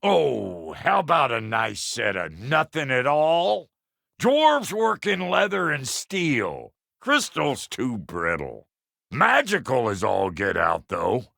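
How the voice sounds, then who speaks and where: A man voicing a gruff, foul-mouthed but good-hearted dwarf blacksmith in a video game, with a rough Southern American accent and a blunt, folksy manner. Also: mid-range voice, very animated, takes it slowly, sharp tone, no echo, clean studio sound, full character voice. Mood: blunt, matter-of-fact. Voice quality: gravelly, rough-edged. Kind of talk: video game character acting.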